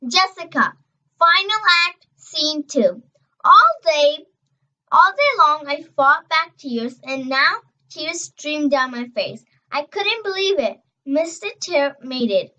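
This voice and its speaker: A young girl reading a children's novel aloud, expressive and emphatic on dialogue, stumbling over words now and then. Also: high voice, slow pace, dull audio. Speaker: reader.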